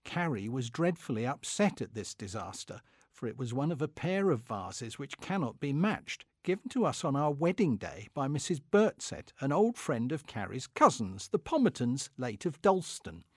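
A man's voice: mid-range voice, big pitch swings, moderate pace, no room sound, studio quality. A man playing a pompous, fussy, middle-aged Victorian clerk who is easily affronted, in a comic dramatic diary reading. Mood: aggrieved, self-satisfied. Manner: pompous, indignant, self-satisfied.

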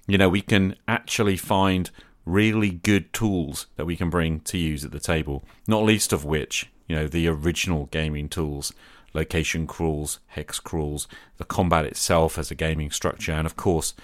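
A man hosting a podcast in a conversational, earnest and reflective way, with measured phrasing. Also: extremely low pitch, no room sound. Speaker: podcast host. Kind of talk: conversational podcast talk on tabletop roleplaying.

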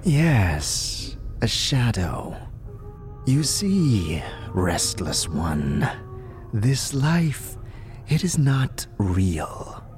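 A man playing an ancient, weary old scribe in an audio drama, speaking solemnly and cryptically with a breathy, ragged wheeze. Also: fairly low pitch, sing-song, a little mellow, faint music behind, full character voice. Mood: grave, philosophical. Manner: slow, solemn, halting delivery.

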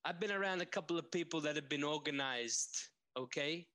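A man's voice: on the high side, fairly quick, bright, forceful tone. A man doing a brash New York wise guy. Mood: swaggering. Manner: tough-guy, ends lines with "okay?".

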